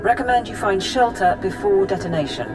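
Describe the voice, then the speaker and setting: An actor playing a deferential, careful military support technician in a video game, giving crisp, formal reports. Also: mid-range voice, lively intonation, a little fast, over music, natural voice. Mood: dutiful.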